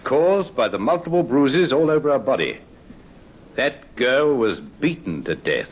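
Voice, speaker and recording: Authoritative police doctor, husky, formal, emphatic, radio drama, muffled vintage sound. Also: male voice, mid-range voice, sing-song, a little slow. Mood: clinical, grave. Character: authoritative, clinical male police medical expert. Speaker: actor playing a medical examiner. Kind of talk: old radio drama acting.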